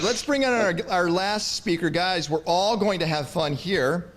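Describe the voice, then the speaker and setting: A man hosting an online panel, playful and teasing as he calls on guests and takes questions. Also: high voice. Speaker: panel host. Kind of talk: livestreamed panel moderation.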